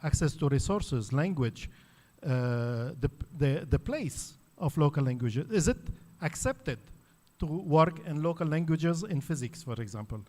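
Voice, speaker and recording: A man's voice: on the high side, expressive, measured pace, dark tone. A man from Lebanon, a native Arabic speaker, giving an academic conference talk in an explanatory way with frequent fillers and restarts. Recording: reverberant hall.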